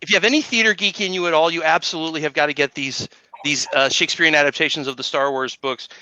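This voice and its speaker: A man with a fairly high, very bright and sharp voice talks casually on a panel. He sounds playful and a little theatrical, in a dry recording. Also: steady tone, quick pace, no room sound, dull audio. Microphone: video call.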